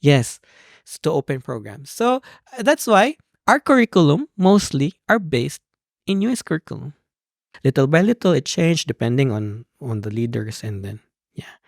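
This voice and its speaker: Guest voice: gravelly young Filipino man, animated storyteller, dry close sound. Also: on the high side, moderate pace, no echo. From Philippines. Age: young adult. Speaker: podcast guest, PhD student. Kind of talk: conversational interview.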